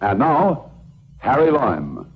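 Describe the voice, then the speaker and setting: A man's voice: mid-range voice, wildly swooping pitch, slow, heavily acted. A man announcing a radio drama in a 1950s broadcast style. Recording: dull old radio recording, loud music behind.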